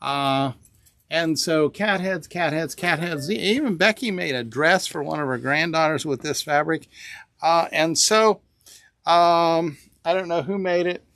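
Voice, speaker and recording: An older man with a high, smooth and clear voice shows fabrics in a shop, rambling through each bolt with plenty of "uh"s before cheerfully naming the price. The sound is dry and close, picked up by a small camera on the counter. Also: a little slow, a bit bright, dry recording. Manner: rambling, many fillers, upbeat price calls. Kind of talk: casual product showcase. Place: indoor fabric store among shelves. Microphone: small camera on table nearby.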